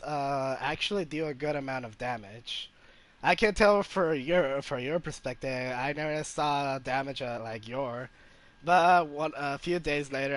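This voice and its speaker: Slow-talking man streaming a video game. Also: on the high side. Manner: halting, searching for words. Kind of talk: casual gameplay commentary. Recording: game music behind.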